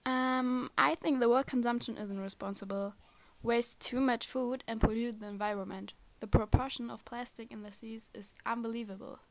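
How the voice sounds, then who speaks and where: A woman's voice: a little high, fairly even intonation, moderate pace, dry recording. Earnest young woman, dry sound. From Germany. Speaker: podcast host. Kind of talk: reading prepared remarks.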